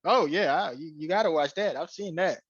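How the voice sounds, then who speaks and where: Guest: young man, West African-Atlanta lilt, halting and filler-heavy, flat dry lo-fi call sound. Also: on the high side, husky, no echo. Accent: West African mixed with Atlanta. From Guinea, raised in Atlanta. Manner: hesitant, many uhs, laughs easily. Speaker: guest, YouTube creator. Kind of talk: casual interview chat. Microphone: headset on video call.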